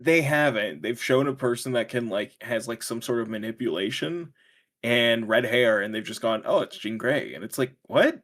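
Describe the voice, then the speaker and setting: A man with an ordinary mid-pitched voice banters casually as a podcast co-host. He delivers wry, skeptical quips and often breaks into laughter partway through his sentences. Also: moderate pace, fairly bright tone. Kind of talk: casual chat.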